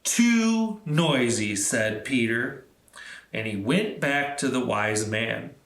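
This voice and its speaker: A man's voice: medium pitch, takes it slowly, bright, forceful tone, echoey room. A man hosting a storytime for children, talking simply and encouragingly as he leads activities and tells stories. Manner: encouraging, simple instructions addressed to young children. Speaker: library storytime host.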